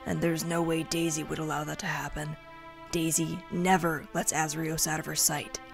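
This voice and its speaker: A woman's voice: low voice, flat delivery, normal speed, vocal fry, music in the background. A woman playing a hard-bitten, skeptical rebel fighter in a fantasy audio drama, blunt and dismissive. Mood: grim.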